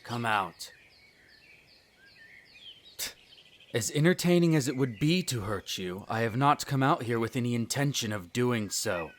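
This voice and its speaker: A man's voice: medium pitch, lively intonation, a little slow, long pauses, close to an everyday voice. A man playing a smug, menacing aristocratic vampire in a scripted audio roleplay, speaking formally with condescending disdain. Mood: menacing, commanding. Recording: birdsong and nature sounds behind. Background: birdsong, garden nature ambience.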